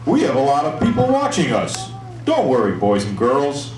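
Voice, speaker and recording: Eager puppet show presenter, put on by a performer, emphatic and swooping in pitch, for young children; distant, noisy live recording. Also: wildly swooping pitch, normal speed, darkish tone, roomy sound. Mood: reassuring.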